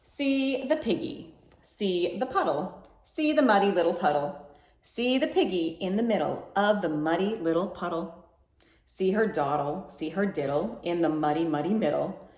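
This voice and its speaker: A woman reads a picture book aloud as a children's storytime host. Her pitch moves up and down a great deal, with playful emphasis and bouncy rhythm. The room sounds echoey. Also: expressive, normal speed, darkish tone.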